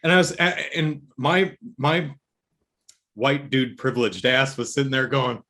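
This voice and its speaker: A man's voice: mid-range voice, steady tone, a little slow, sharp tone. A middle-aged man from the San Diego area, co-hosting a podcast in casual chat, rambling, mocking himself and laughing often. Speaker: podcast co-host.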